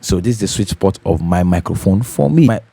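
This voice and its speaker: Deep bassy man, mellow tone, easygoing tutorial host, dry home studio. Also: expressive, fairly quick, creaky, slightly rough, dry recording. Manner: easygoing, repeats key points, adds "yeah?" to check in. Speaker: host of a home recording channel. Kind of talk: casual tutorial talk to camera. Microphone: studio condenser microphone.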